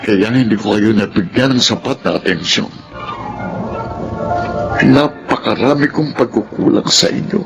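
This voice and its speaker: An actor with a Filipino accent playing a loving, remorseful middle-aged widowed father in a radio drama, speaking haltingly and with emotion. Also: lowish voice, animated, rough recording. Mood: remorseful, sorrowful. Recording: loud background music.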